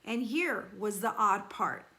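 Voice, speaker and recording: A woman reading a children's novel aloud like a teacher, animated, with deliberate emphasis and dramatic slowing on key phrases. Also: medium pitch. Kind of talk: expressive children's read-aloud.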